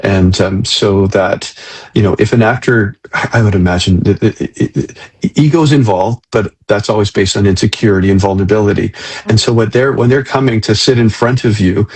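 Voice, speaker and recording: A male interviewer with a fairly low, slightly rough and husky voice. He talks conversationally, feeling his way through long questions with halting, tentative phrasing. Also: a little mellow, poor audio. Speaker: interviewer, host.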